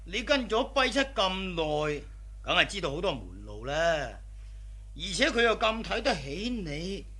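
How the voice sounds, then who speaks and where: A man's voice: sits high, sing-song, unhurried, bright, forceful tone, slightly rough, exaggerated voice. An actor playing a hearty, shrewd middle-aged boss and indulgent father in a radio drama, effusive and colloquial. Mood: hearty flattery.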